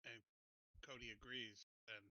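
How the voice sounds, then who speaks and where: A man's voice: medium pitch, level tone, a little slow, pushed and bright, low-quality recording. A man playing a tabletop roleplaying game, talking casually with the group. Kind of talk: casual discussion during a game.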